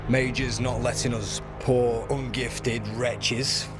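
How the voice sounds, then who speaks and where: A man's voice: mid-range voice, little pitch movement, slow, over music, natural voice. A man playing a sardonic, grumbling resistance contact in a fantasy video game. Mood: sardonic, wry.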